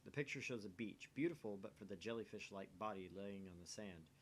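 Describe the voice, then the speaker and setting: A man with a fairly low voice reads game narration and lines of dialogue aloud. The recording is homemade, with a noticeable low rumble of noise behind him. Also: a little fast. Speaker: amateur voice reader of a visual novel.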